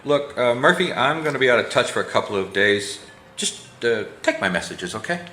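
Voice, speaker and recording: An actor playing a wry, resourceful private detective in a noir radio drama, with a colloquial, hard-boiled way of talking. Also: male voice, medium pitch, sing-song, moderate pace, sharp tone, soft background music. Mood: casual, persuasive. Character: wry, resourceful male private detective narrating his case. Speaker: lead actor and narrator. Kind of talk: noir radio drama acting and first-person narration.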